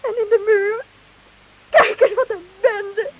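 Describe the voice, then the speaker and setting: Hoge, verwijtende dorpsvrouw in hoorspel. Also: female voice, very high-pitched, steady tone, slow pace. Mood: exasperated. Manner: exclaiming, reproachful, dramatic. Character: exasperated, scolding village wife of a smuggler. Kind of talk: radio play acting. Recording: muffled, hissy old radio recording.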